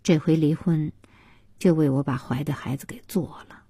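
Audiobook role: plain-spoken village woman acted by a female reader, quietly resolute, soft-toned and husky. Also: low voice, moderate pace, no echo, exaggerated voice. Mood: subdued, frank. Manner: rustic, frank, subdued. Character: Xiaoxia, plain-spoken divorced rural mother, subdued and resolute.

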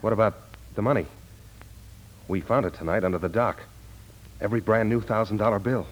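An American actor playing a hard-boiled war veteran and ex-detective in a 1940s radio noir drama. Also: male voice, fairly low pitch, a little fast, natural voice. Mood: defiant. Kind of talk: 1940s radio noir acting and first-person narration. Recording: noisy 1940s radio broadcast recording.

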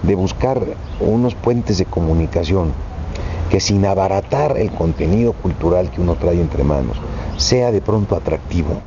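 A man's voice: low voice, lively intonation, moderate pace. A man in reflective, conversational radio talk as a guest musician. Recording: dull lo-fi audio with loud noise.

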